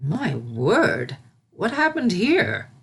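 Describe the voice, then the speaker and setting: A woman's voice: medium pitch, wildly swooping pitch, drawn-out words, gravelly, fairly bright tone, breathy edge, heavily acted. A woman voicing a fussy, easily flustered older male unicorn steward in a storybook reading. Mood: shocked.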